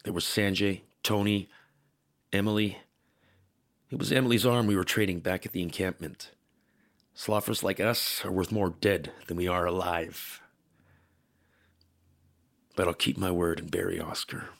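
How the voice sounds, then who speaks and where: An actor playing a weary, determined military veteran with failing implants in an audio drama, gritty and urgent. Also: male voice, deep voice, steady tone, measured pace, gravelly, pauses often, fairly bright tone, full character voice. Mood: grim narration. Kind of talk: cinematic audio drama acting with noir narration.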